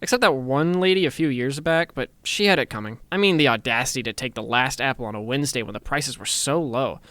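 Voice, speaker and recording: A man's voice: medium pitch, quick pace, bright, forceful tone, dry recording, natural voice. A man playing a smug, teasing, intimidating office boss who talks in a chatty, self-satisfied way in an ASMR roleplay.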